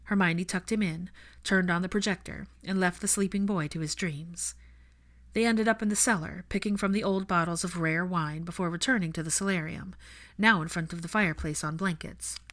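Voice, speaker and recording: A woman's voice: lowish voice, moderate pace, a bit bright. A woman reading scripted fiction aloud as an audiobook narrator.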